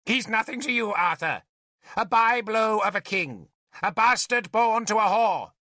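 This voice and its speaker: A man's voice: high voice, moderate pace, sharp tone, dry recording, clean studio sound, voice pushed up. Man acting a ruthless, imperious old druid. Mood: scornful shouting. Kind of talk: dramatic audiobook character voice.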